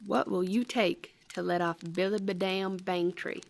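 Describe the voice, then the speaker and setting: A woman's voice: medium pitch, steady tone, gravelly, slight background noise. A woman playing a kindly, shrewd old granny in a radio drama, with a folksy American country accent and fond, homespun talk. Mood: calm, probing. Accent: American Southern or Western. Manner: slow, folksy, endearments like child and darling.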